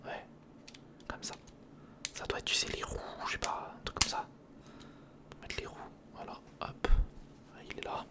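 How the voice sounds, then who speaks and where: A man whispering in a breathy, crackly voice, casually murmuring a step-by-step ASMR commentary as he thinks aloud. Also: moderate pace, pauses often. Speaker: ASMR video creator.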